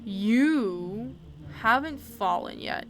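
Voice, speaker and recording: A woman's voice: a little high, expressive, extremely slow, noisy background. A young woman casually commenting as she plays a mystery game, thinking aloud and working through her guesses. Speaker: let's play streamer.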